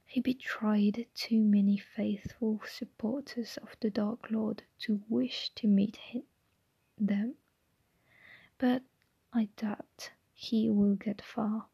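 Brief: menacing older man wizard, secretive, slow breathy hush, read by a woman. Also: fairly high pitch, no room sound, muffled recording, heavily acted. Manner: whispered. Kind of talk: ASMR audiobook reading.

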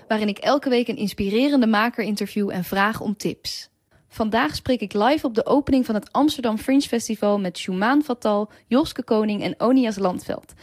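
A woman's voice: on the high side, darkish tone, no room sound, studio quality. A woman hosting a podcast, reading a scripted introduction in conversational words. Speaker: podcast host.

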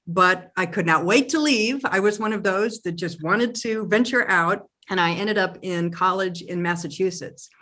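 A middle-aged woman from Oklahoma, a company president and interview guest, telling a story in a reflective, measured way. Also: medium pitch, a bit bright. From Oklahoma, USA.

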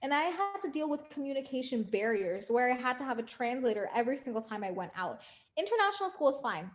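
A woman's voice: high voice, sing-song, quick pace. Earnest young woman podcast co-host. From United States. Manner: earnest, emphatic, lists points with rising force. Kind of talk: casual podcast discussion.

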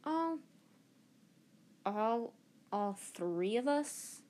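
A woman's voice: on the high side, expressive, drawn-out words, darkish tone. A woman doing a blunt teasing teenage boy. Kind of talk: reading a children's novel aloud.

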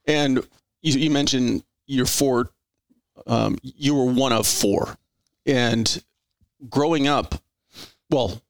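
Earnest middle-aged podcast host. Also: male voice, mid-range voice, slow, gravelly, pauses often, no echo, low-quality recording. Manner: earnest, rambling with restarts. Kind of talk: conversational interview.

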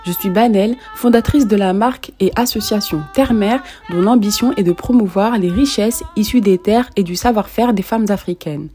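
A woman hosting a podcast, giving a scripted introduction and asking casual questions in a calm, measured way. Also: mid-range voice, soft background music. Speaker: podcast host.

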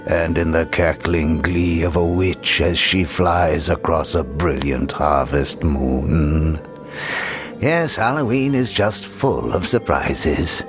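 A man playing a genial, mysterious Halloween storyteller, narrating in a measured, ominously playful, theatrical way. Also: very deep voice, full character voice. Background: music.

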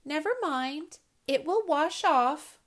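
A woman voicing a kind, patient schoolteacher in a storybook reading, gentle and encouraging. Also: high voice, slow, voice pushed up.

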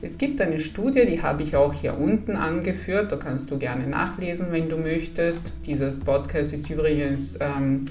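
Low-voiced Italian-accented woman podcaster. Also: normal speed, hardly any pauses, recorded from a distance. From Italy. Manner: gentle, encouraging, addresses listener directly. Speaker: psychologist and podcast host. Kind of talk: calm explanatory podcast talk.

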